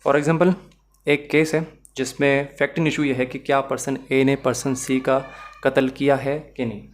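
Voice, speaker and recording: A Pakistani man speaks as a law lecturer at a medium pitch. He explains in a didactic way, talking straight to the learner and working through examples. English terms and phrases are woven through his sentences. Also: little pitch movement, measured pace, a little hiss or hum. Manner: didactic, addresses the learner directly.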